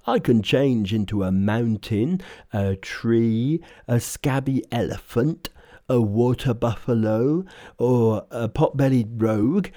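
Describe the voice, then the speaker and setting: A man's voice: medium pitch, slow, warm tone, no echo, studio quality, natural voice. A man voicing a foolish, reluctant pig-man in a storybook reading, always complaining and protesting.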